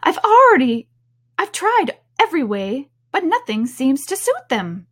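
A woman's voice: sing-song, measured pace. A woman voicing a frantic, scolding mother pigeon from a storybook, screeching and exasperated. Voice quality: shrill, screeching. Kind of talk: storybook character voice.

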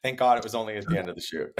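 A young man, hoarse from laryngitis and a bit deeper than usual, telling animated stories in a casual interview and acting out his own quotes. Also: quick pace. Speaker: singer-songwriter guest.

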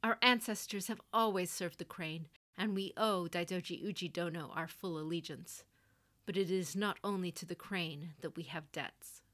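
A woman's voice: on the low side, moderate pace, a bit bright, close to an everyday voice. A woman voicing a stern, blunt older samurai mother and general in an audio story, with a clipped, commanding manner. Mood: grave, formal.

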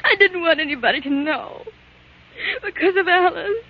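A woman's voice: very high-pitched, expressive, normal speed. An actress playing a distraught, guilt-ridden young woman in 1940s radio drama style. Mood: anguished. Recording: muffled old radio recording.

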